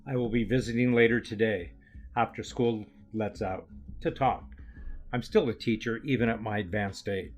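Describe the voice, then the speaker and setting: A man's voice: on the low side, steady tone, close to an everyday voice. Older male community elder played by an actor: grave, kindly, formal audio drama delivery over faint music. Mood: grave, reassuring. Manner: formal, measured, solemn. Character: grave, kindly elder leader and aging teacher.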